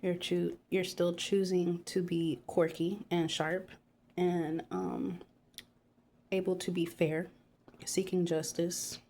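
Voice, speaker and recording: A woman with a stuffy nose from a cold, giving a calm, unhurried tarot card reading to her viewers with many pauses. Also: on the low side, slight background noise.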